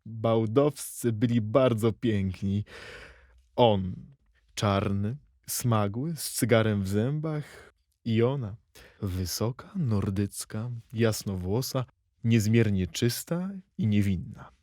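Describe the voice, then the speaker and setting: Male actor as nostalgic poet in a radio play, low-voiced, very slow and reflective, tender, pausing expressively, dry sound. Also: no room sound. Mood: musing, nostalgic. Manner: reflective, deliberate, with expressive pauses. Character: male poet reminiscing tenderly about his childhood. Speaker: actor in a literary audio play. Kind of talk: dramatic poetry and memoir recitation.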